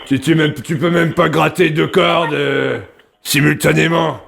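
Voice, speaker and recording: A man's voice: fairly high pitch, fairly even intonation, a little slow, gravelly, a bit bright, full character voice. A man playing an earnest, rambling construction worker who wants to start a rock band, in a prank phone call. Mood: irritated. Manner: rambling, hesitant, pestering. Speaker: prank caller.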